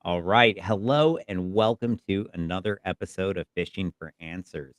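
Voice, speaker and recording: A man's voice: deep voice, normal speed, no echo. A man hosting an interview show, upbeat and laughing along with his guest. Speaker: host. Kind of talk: casual interview chat.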